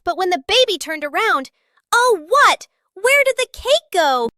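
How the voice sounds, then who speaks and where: A woman's voice: extremely high pitch, big pitch swings, unhurried, pushed and bright, dry recording, studio quality. A woman reading scripted children's story narration in short, simple storybook sentences.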